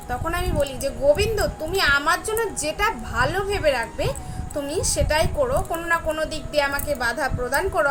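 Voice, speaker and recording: A young woman speaks earnestly to viewers in a personal devotional talk, repeating phrases for emphasis. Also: sits high. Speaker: devotional vlogger. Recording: clearly noisy, ambient background sound.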